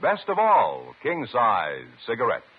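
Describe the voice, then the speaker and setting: A man's voice: mid-range voice, wildly swooping pitch, slow pace, slight background noise. A man delivering a polished, emphatic 1950s radio commercial as an announcer. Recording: narrow, tinny vintage radio recording.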